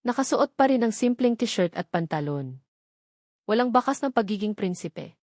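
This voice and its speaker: A woman's voice: medium pitch, lively intonation, moderate pace, darkish tone, dry recording, clean studio sound. A woman narrating a romantic drama audiobook in a scripted, dramatic storytelling style.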